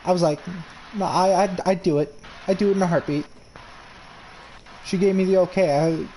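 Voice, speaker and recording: A man's voice: sits high, steady tone, moderate pace, warm tone, a little hiss or hum, rough recording. A man in his early twenties from New York, telling crude, boastful stories in a casual voice chat with lots of restarts. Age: 20s. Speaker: gamer in voice chat.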